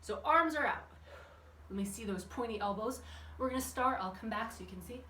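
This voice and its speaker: Woman leading a kids workout, chatty n encouraging, highish voice, echoey room, mic far off. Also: lively intonation, brisk, pushed and bright. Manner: chatty, encouraging, asks the audience questions. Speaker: children's fitness class instructor. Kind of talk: instructional exercise class for kids, addressing viewers. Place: indoor room with windows open. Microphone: camera at a distance. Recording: echoey room, distant microphone, background noise.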